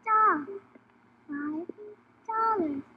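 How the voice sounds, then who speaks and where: A talking checkout machine in an audio drama. The voice is tiny and extremely high, slowly announcing in clipped, emotionless bursts. It sounds tinny and narrow. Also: female voice, full character voice. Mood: detached, announcing. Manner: clipped, mechanical, detached. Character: impassive talking cash register calling out items and prices. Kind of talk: stylized audio theater announcements. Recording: tinny band-limited sound, faint music.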